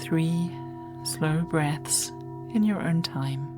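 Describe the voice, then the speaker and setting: A woman guides a meditation in a low voice, gentle and soothing. She leaves long, unhurried pauses between phrases. Music plays clearly behind her.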